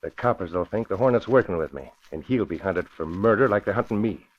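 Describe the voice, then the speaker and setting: A muffled old radio crime drama voice. A man plays a scheming escaped convict, low in pitch, who talks in cocky, vengeful gangster slang. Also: fast talker, close to an everyday voice. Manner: slangy wise-guy gangster talk. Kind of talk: 1940s radio acting.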